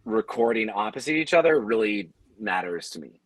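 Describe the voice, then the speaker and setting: A man's voice: lowish voice, expressive, normal speed, dry recording, low-quality recording. A man explaining things in businesslike detail in a conversational panel discussion. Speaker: audio drama producer on a panel.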